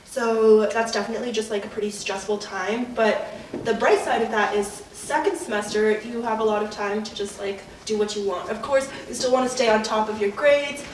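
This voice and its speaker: A woman's voice: mid-range voice, normal speed. A young woman from Colorado, a college student chatting casually and laughing easily. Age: young adult. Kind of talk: casual group chat. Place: college dorm common room. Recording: roomy echo, distant mic, faint noise.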